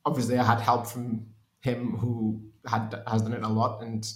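A man's voice: mid-range voice, completely flat delivery, moderate pace, reverberant. A man from Portugal in his thirties, a race engineer answering interview questions in a hesitant way with many restarts. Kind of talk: conversational interview.

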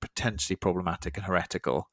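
Preacher guy, real low voice, dry close sound, chatting about his sermon, kinda self-deprecating. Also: racing, dry recording. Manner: thoughtful, self-deprecating, repeats words. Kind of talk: casual church podcast chat.

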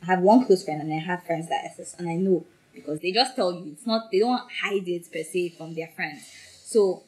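A woman's voice: on the low side, a little fast, low-quality recording. Emphatic young woman chatting. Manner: animated, emphatic, laughs often. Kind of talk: casual chat.

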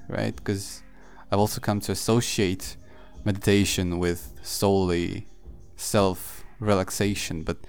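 A man hosting a solo podcast, thinking aloud in a halting, reflective way with frequent pauses and fillers. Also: fairly low pitch, gravelly, a little mellow, smooth. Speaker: podcast host. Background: faint background music.

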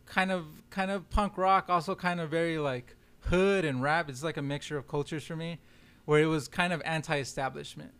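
A young man whose first language is Spanish, speaking casually as a podcast guest. His voice sits a bit high and comes through clear and smooth. He talks in loose, slangy runs, leaning on "like" and "yo.". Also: fairly even intonation, normal speed, a bit bright. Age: young adult. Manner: loose slangy talk full of "like" and "yo". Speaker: podcast guest, film director. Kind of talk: casual chat.

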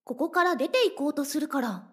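Very high-voiced obsessive lovestruck girl. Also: lively intonation, a little fast. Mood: calm menace. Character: obsessively lovestruck young woman, possessive, sweetly menacing captor. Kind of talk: Japanese anime-style voice drama acting.

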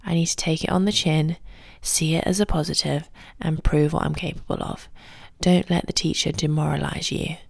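Soft-spoken woman, low and gravelly. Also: no echo, studio quality. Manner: soft, calm and quiet, often near a whisper. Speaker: podcast host and ballet teacher. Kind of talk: solo podcast advice talk. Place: quiet home early in the morning.

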